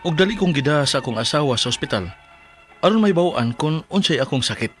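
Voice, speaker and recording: A man's voice: fairly high pitch, expressive, a little fast, fairly bright tone. An actor with a Cebuano accent playing an earnest, ailing 45-year-old husband who tells his troubles in a Philippine radio drama. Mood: earnest, troubled. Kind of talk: Philippine radio drama acting and letter narration. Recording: music bed under voice.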